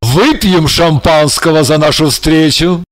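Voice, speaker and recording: Woman reader plays a lovestruck, exclamatory male painter, unhurried delivery, dry close read. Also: low-pitched, dry recording, faint music behind. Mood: tender. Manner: effusive, exclamatory. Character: ardent, excitable male painter in love. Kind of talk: audiobook character reading.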